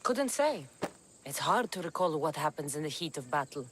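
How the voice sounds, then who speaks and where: An actress playing a tough young mercenary heroine in a video game cutscene, speaking bluntly and plainly. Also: female voice, deep voice, very animated, normal speed, fairly bright tone, slight background noise. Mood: earnest. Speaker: game character.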